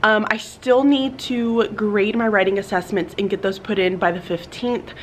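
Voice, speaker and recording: A woman's voice: medium pitch, steady tone, moderate pace, a little hiss or hum. A young woman teacher talking casually to her handheld camera in a classroom, upbeat and chatty, her voice a bit stuffy from sinuses. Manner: chatty, upbeat, says "y'all" and "like" often. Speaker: elementary school teacher. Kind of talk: casual vlog talk to camera. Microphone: handheld camera, no tripod.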